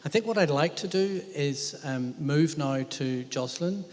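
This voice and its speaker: A man moderating a panel discussion, polite and thoughtful, pausing with frequent hesitations. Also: a little high, darkish tone. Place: lecture hall at a museum event. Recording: reverberant lecture hall.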